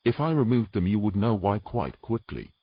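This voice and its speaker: A man acts out a proud, uneasy knight from a fantasy audio drama. His low voice swings expressively in pitch, with formal phrasing and dry sarcasm. The recording is slightly dull. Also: sing-song, normal speed, husky, no room sound. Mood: indignant, dry.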